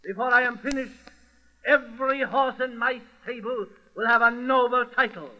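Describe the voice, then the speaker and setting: An actor playing an imperious, vengeful governor, pompous and commanding in old radio drama style. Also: male voice, very high-pitched, normal speed. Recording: muffled lo-fi old radio recording.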